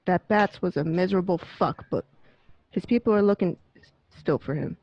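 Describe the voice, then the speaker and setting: A woman playing a pushy, gruff-talking mobster in an amateur radio crime drama. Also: on the low side, moderate pace, no echo, close to an everyday voice. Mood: insistent. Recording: dull lo-fi dry home recording.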